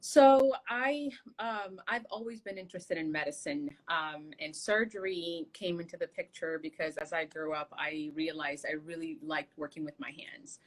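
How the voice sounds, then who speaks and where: A woman originally from Somalia speaks with a low voice as an interview guest. She tells her story earnestly and reflectively, in the plain way of a surgeon talking about her own path. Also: moderate pace, few pauses, low-quality recording. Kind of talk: casual conversational interview.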